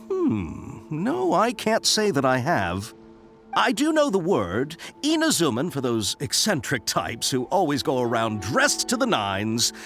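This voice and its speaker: A man's voice: mid-range voice, a bit bright. A man playing a friendly, chatty, eccentric engineer in a video game, with a theatrical way of talking. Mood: curious, obliging. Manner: theatrical, talkative. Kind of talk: video game character acting.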